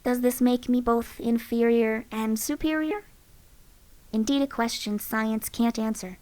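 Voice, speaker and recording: A woman's voice: a little high, fairly even intonation, unhurried, a little mellow, natural voice. A woman voicing a cool, haughty synthetic companion. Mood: musing. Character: aloof artificial female companion, curious and superior. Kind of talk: game companion voice lines.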